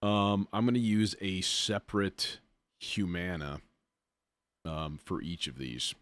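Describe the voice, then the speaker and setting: A man with a low voice talks through a music software demo on a livestream. He is informal and a bit self-deprecating, often muttering to himself as he works things out. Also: slow. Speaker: livestream host, music producer demonstrating software. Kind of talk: casual livestream demo, thinking aloud.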